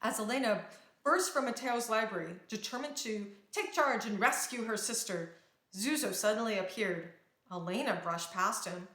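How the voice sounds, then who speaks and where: Bright-voiced woman reading a storybook, roomy echo. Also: mid-range voice, moderate pace. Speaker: storybook reader. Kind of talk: expressive read-aloud narration of a children's storybook. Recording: roomy, echoing sound.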